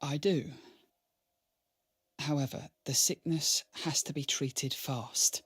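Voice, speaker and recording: An actor playing an earnest, deferential merchant in a radio drama, husky, with formal courtly speech and an anguished streak. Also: male voice, on the high side, fairly even intonation, takes it slowly, fairly bright tone, exaggerated voice. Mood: grave, gentle.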